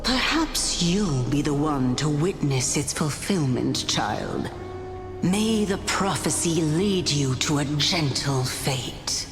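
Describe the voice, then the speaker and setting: An actress playing an ancient female general in a video game, stately and stern yet gentle, with formal, measured phrasing. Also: low voice, lively intonation. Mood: grave, commanding. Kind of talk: scripted video game voice acting. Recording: long hall reverb, music behind.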